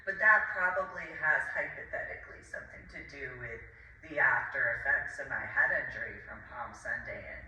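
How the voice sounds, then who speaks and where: A middle-aged woman from New Jersey with an American accent gives a rambling vlog monologue in halting, roundabout, formally worded phrases. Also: low-pitched, bright, forceful tone. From New Jersey, United States. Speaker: video creator. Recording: roomy echo, distant mic, faint noise.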